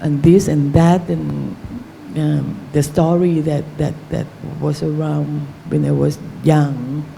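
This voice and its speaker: A Thai-accented woman answers questions on an academic panel in English, her second language. She talks slowly and haltingly, circling back to find her words, in a mellow, warm voice. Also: low voice, level tone, creaky, a little hiss or hum, poor audio. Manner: halting, searching for words, many fillers. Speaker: novelist on a panel.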